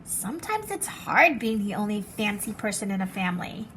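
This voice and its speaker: A woman reading as an excitable, fancy little girl from a storybook, dramatic and showy with her favorite words. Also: medium pitch, moderate pace, a little hiss or hum. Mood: eager.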